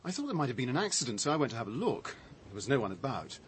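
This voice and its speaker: An actor playing a shaken, defensive man with a halting, reflective, dry delivery in a radio drama. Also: medium pitch, big pitch swings, a little hiss or hum, close to an everyday voice. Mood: uneasy recounting. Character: shaken man recounting his discovery, defensive, sardonic.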